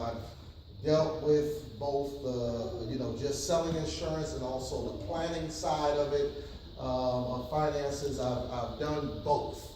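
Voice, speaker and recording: A man's voice: fairly high pitch, darkish tone, slight background noise. A man from New York City, a pastor giving a casual church workshop to an audience, with many ums and frequent questions to the room. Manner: hesitant, many ums, audience call-and-response. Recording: echoey hall from far off.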